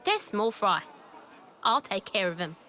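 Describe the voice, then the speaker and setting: A woman's voice: mid-range voice, very animated, a little slow, sharp tone, some chatter behind. An actress playing a brash, sarcastic young woman rebel leader in a video game, with a blunt, cocky way of talking. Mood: commanding. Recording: game music behind the voice.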